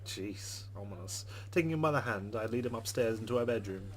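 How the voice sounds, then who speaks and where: A man's voice: lowish voice, animated, fairly quick. A man reading a visual novel aloud and adding casual commentary as a gaming host. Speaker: gaming let's play host.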